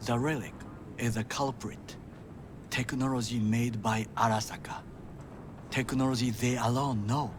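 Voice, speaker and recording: An actor playing a stern, formal male corporate bodyguard who speaks in clipped, measured sentences and drops into quiet whispers. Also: mid-range voice, close to an everyday voice. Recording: game audio with clear background noise.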